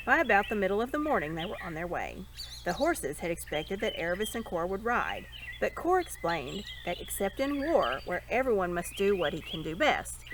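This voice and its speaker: Expressive woman reading, birdsong behind. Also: mid-range voice. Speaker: reader. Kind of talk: expressive storybook narration. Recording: birdsong and ambient noise behind.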